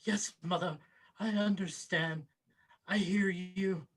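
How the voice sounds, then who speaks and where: Give me a man playing a meek, whining grown son, high-voiced and husky, for a film table read. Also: steady tone, slow. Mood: whiny, meek. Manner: whining, hesitant, submissive. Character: whiny, browbeaten grown son, reluctant schemer.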